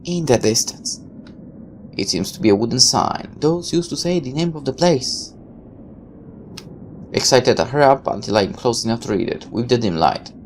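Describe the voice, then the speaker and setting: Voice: medium pitch, animated, moderate pace, a little mellow, slight background noise. A person reading a visual novel aloud with casual asides, now and then stumbling over a line and correcting it.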